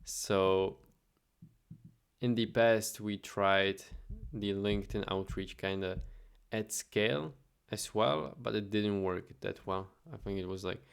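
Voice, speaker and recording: Young male vlogger, low gravelly voice, relaxed chatty delivery, clear tone. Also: takes it slowly. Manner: relaxed, chatty, thinks aloud with fillers. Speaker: automation agency owner vlogging. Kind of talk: casual screen-share vlog.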